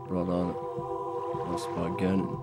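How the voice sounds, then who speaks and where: A man's voice: low-pitched, little pitch movement, slow, pitched below the performer's own voice. A man voicing a wise old talking boat from a video game, formal, stately and grave. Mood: solemn. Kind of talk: video game character voiced by a streamer. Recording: loud music behind the voice. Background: game music.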